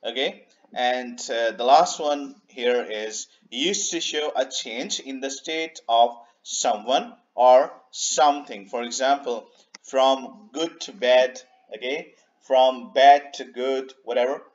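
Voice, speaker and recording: A man explains English grammar over slides in an unhurried voice-over. He repeats points and leans on "okay" and "uh" as he goes. His voice is mid-pitched, and the audio is slightly dull and lo-fi. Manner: unhurried, repeats himself, many "okay" and "uh" fillers. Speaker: English teacher. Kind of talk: slide-based grammar lesson. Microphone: voice-over on a screen recording.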